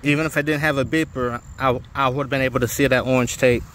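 A man's voice: mid-range voice, fast, a little hiss or hum. Easygoing older man vlogging outdoors. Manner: relaxed, chatty, colloquial. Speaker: drone hobbyist vlogger. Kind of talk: casual walk-and-talk vlog. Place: outdoors in an open grassy field at dusk. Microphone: phone held at arm's length.

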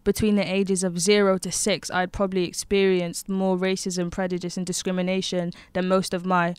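A woman's voice: lowish voice, flat delivery, moderate pace, darkish tone, clear, resonant tone, dry recording. A young woman, talking frankly and animatedly as a rapper guest in a studio lounge, into a handheld mic. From Wales. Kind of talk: casual conversation. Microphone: handheld microphone.

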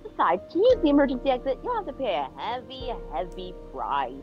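High, playful teasing death-god girl. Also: huge pitch swings, brisk, highs cut off. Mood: teasing, ominous. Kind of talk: anime video game voice acting. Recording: game audio with music behind. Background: game music.

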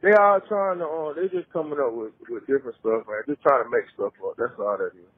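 A man with a husky voice in casual phone talk, reassuring and repeating his phrases. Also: mid-range voice, fairly quick. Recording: tinny phone line.

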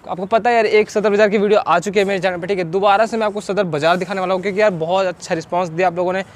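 A man's voice: sits high, fairly even intonation, very fast. A man, chatting casually to his viewers as a vlogger. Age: 20s. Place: outdoors, selfie intro and open-air street market. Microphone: handheld camera or phone at arm's length.

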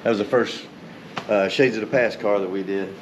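A man's voice: lowish voice, steady tone, normal speed. Easygoing man in an echoey garage. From Tennessee, US. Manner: easygoing, rambling, chuckles often. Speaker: custom interior shop owner. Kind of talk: casual shop tour explanation. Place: large indoor garage workshop. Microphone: host's handheld camera. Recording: echoey garage with background noise.

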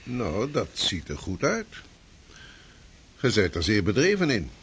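A hearty, canny old-world clergyman of the sea, in a radio play. His husky voice swings widely in pitch as he delivers pompous, antique phrases. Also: wildly swooping pitch, unhurried, full character voice. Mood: genial, curious. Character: hearty, canny male Flemish minister and seafarer. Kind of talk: period radio drama acting.

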